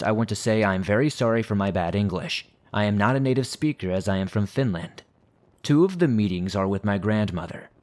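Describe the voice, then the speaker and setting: A man hosting a horror podcast, reading listener stories aloud in a steady, measured way. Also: on the low side. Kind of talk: scripted horror story narration.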